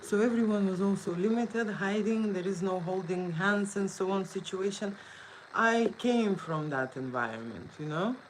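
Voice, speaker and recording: A woman's voice: mid-range voice, moderate pace, a little hiss or hum, rough recording. A woman with a Lithuanian accent chatting casually on a livestream, answering viewers' questions and often adding "okay?" to her sentences. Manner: chatty, frequent "okay?" tags, non-native phrasing. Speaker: photographer hosting a live Q&A. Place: indoor studio. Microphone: phone.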